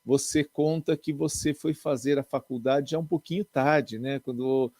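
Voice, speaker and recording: A man's voice: mid-range voice, steady tone, moderate pace, a little mellow, dry recording. A man, a pastor, hosting an interview in a chatty style with frequent exclamations of praise. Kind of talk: casual interview.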